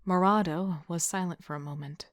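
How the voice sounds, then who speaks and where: A woman playing a young team leader who narrates an audio drama in a reflective, softly breathy, introspective way. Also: on the low side, fairly even intonation, normal speed, no echo, studio quality, close to an everyday voice.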